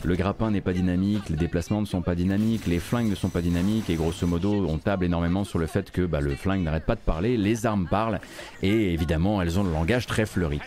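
A man's voice: deep voice, quick pace, darkish tone. A man hosting a live video game news recap, giving opinionated commentary with emphatic repetition. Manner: emphatic, repeats words for stress.

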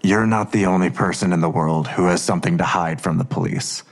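An actor playing a guarded, middle-aged police detective and worried father in an audio drama, gravelly and terse. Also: sits low, steady tone, a little fast. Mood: grave, defensive. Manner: terse, cagey.

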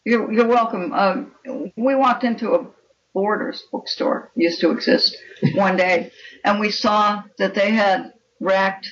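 A woman's voice: mid-range voice, fairly even intonation, slow pace. An older woman speaking as a guest author in a conversational interview, with an opinionated, emphatic and instructive manner. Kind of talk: conversational interview talk on writing. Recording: dull, lo-fi connection.

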